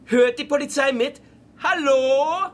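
A man's voice: very high voice, slow, fairly bright tone, full character voice. An actor playing a nervous, evasive male salesman in a radio play, stammering and agitated, turning defiant.

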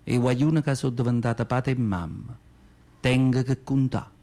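A man's voice: medium pitch, steady tone, normal speed, close to an everyday voice. A man playing a nearly hundred-year-old poor, devout woman from southern Italy, telling her memories in a radio monologue with a Campanian accent and folksy dialect. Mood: weary, proud. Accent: Neapolitan-area southern Italian (Campania). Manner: folksy dialect storytelling, plaintive, repeats words for emphasis. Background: acoustic guitar music.

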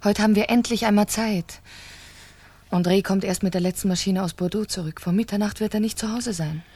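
An actress playing a cold, calculating wife who plots in a precise, commanding manner in a German radio crime drama. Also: female voice, mid-range voice, steady tone, fairly quick, darkish tone, breathy edge, dry recording, natural voice. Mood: cool, businesslike. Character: cold, calculating French wife plotting her husband's murder.

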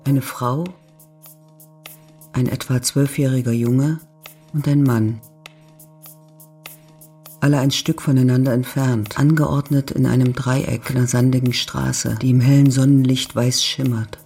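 Male narrator for a literary radio drama, mid-range pitch, measured descriptive read over music. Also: little pitch movement, gravelly, darkish tone.

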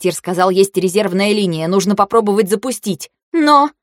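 A woman voicing a forceful young woman leader in an audiobook, curt and emphatic. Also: medium pitch, animated, moderate pace, no room sound, studio quality, natural voice. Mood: firm.

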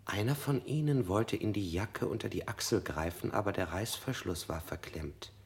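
A man's voice: fairly low pitch, moderate pace, close to an everyday voice. An actor playing a frightened man under interrogation in a radio drama, who keeps correcting himself and sometimes drops to a whisper. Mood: confused.

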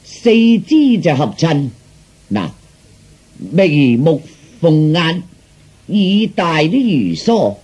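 A man acts out a grandiose street fortune teller from a comic audio story. His husky, fairly high voice swings widely as he flatters and haggles. The patter is slow, declamatory and sing-song, drawn out for emphasis. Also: exaggerated voice. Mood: grandiose pronouncing. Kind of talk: theatrical Cantonese comic storytelling.

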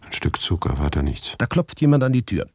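An actor playing a restless, irritable writer who narrates his own absurd story in a radio play, with a gravelly, husky voice and an intimate delivery that often drops toward a whisper. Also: low voice, sing-song, brisk, no room sound. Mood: tense, watchful. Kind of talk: dramatic first-person radio play narration.

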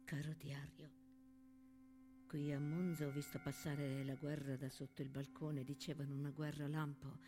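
An actress playing a subdued, worried young mother confiding hushed thoughts to her war diary in an intimate theatrical monologue. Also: low voice. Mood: subdued, sad.

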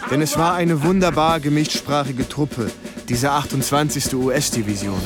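A man's voice: a little high, normal speed, close to an everyday voice. A man acting as a young, dutiful, uneasy US army lieutenant who narrates a war radio play in German. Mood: measured recollection. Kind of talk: radio drama narration and dialogue. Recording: music under the voice. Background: dramatic music.

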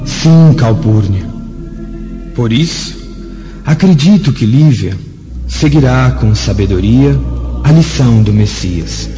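A man's voice: mid-range voice, animated, slow, long pauses, warm tone. An actor playing a benevolent, grave angelic emissary in a radio drama, with solemn, lofty, fatherly delivery. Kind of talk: dramatic radio play acting. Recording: muffled, long cavernous reverb, faint music.

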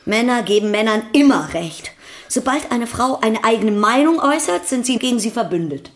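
An actress in a German radio play playing a strict, reproachful, sharp-tongued lady of the house. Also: fairly high pitch, expressive, moderate pace. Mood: indignant. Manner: prim, reproachful.

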